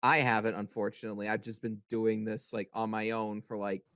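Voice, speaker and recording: A young man chatting casually on a podcast, talking haltingly and repeating his words as he goes. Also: fairly low pitch, little pitch movement, a bit bright, clear, resonant tone, no echo, clean studio sound. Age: young adult. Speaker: podcast member, amateur voice actor. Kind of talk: casual chat.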